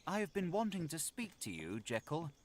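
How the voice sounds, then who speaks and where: A man voicing a blunt, loyal gentleman lawyer in an audiobook, formal and insistent. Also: a little high, huge pitch swings, normal speed, pitched above the performer's own voice. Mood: probing. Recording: loud engine and vehicle noise.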